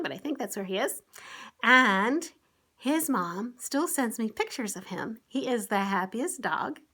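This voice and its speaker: A woman's voice: mid-range voice, fairly bright tone. A woman hosting story time for children, talking through a picture book and often putting simple questions and exclamations to her young listeners. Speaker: children's author and online story-time host.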